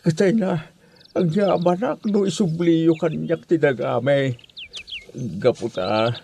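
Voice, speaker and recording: A man acts out an old village father in an audio drama, his voice gravelly and mellow. He is humble and plaintive, full of sorrow and gratitude, with faint noise and birdsong behind him. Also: on the high side. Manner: plaintive, exclamatory. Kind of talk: amateur Ilocano audio drama acting.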